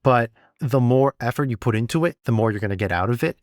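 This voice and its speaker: A man's voice: medium pitch, expressive, fast, dry recording, studio quality. A man co-hosting a podcast, talking casually and encouragingly, with rambling, self-deprecating asides. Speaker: podcast co-host and sound designer. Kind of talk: casual conversational podcast talk.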